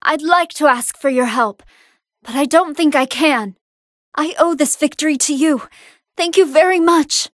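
A woman's voice: high-pitched, a little slow, no echo, studio quality. A woman playing a good-hearted female android fighter in an anime fighting game, earnest and polite, with emphatic battle lines. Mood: gentle, polite.

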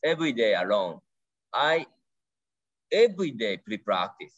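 A man in his thirties from Japan, speaking English with a Japanese accent in short, broken, excited phrases as an interview guest on a video call. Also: on the low side, a little slow, lo-fi sound. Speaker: guest angler. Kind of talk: casual interview. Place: home room.

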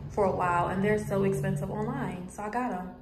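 A woman's voice: medium pitch, fairly even intonation, brisk, music in the background. A young adult woman with an American accent, a vlogger chatting casually to the camera. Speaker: beauty and lifestyle vlogger.

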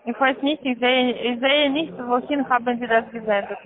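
A woman with a foreign accent, not a native German speaker, giving halting, insistent instructions as a call-centre caller. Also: fairly high pitch, fairly even intonation. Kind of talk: scripted phone instructions, spelling out slowly. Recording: tinny, narrow-band phone line.

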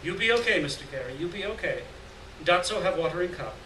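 A man's voice: sits high, lively intonation, fast talker, sharp tone, voice pushed up. A man voicing a caring, earnest foreign woman in a story reading, speaking short phrases of broken English. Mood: soothing. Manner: broken grammar, short urgent reassuring phrases. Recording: roomy, distant, noisy live room recording.

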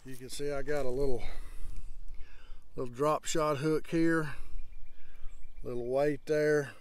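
A man's voice: medium pitch, steady tone, slow, no echo. A man, talking folksy and casual to his viewers while fishing outdoors on the water. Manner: folksy, talks straight to viewers. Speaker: fishing vlogger.

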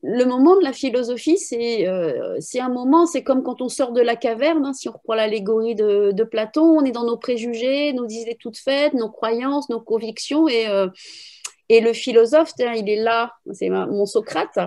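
A middle-aged woman with a fairly high, soft-edged, mellow voice. She explains like a teacher in an interview, thinking aloud and restarting as she goes. She speaks over a video call from a room at home. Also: animated, moderate pace. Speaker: philosophy teacher and trainer, interviewee. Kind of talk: spontaneous explanatory interview talk. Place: room at home with bookshelves, indoors. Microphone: video call, computer microphone.

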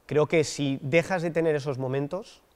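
A man's voice: a little high, steady tone, normal speed, a little mellow, clean tone. Hesitant young man, echoey studio. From Basque Country, Spain. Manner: thoughtful, hesitant, many fillers and restarts. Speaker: political candidate. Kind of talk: interview answers. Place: dark studio set, seated in armchair.

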